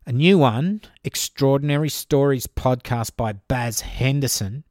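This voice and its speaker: A man's voice: mid-range voice, unhurried, darkish tone, no room sound, clean studio sound. Chatty Australian man hosting a podcast. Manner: chatty and informal, addresses listeners by name. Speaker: podcast host. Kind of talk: solo podcast reading listener questions.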